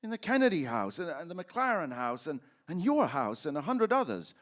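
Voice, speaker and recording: An actor playing an earnest, impassioned young small-town manager in an old-time radio drama, with an American accent and a stammering, pleading delivery. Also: male voice, a little high, big pitch swings, normal speed, a bit bright. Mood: pleading, urgent. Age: young adult.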